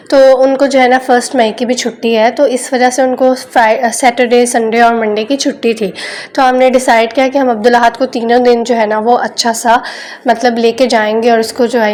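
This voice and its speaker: A chatty young woman narrates her day in a relaxed vlog voice-over. Her voice sits a little high, and her homely, rambling delivery leans on repeated filler phrases. A sore throat gives her a slight rough edge. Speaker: vlogger.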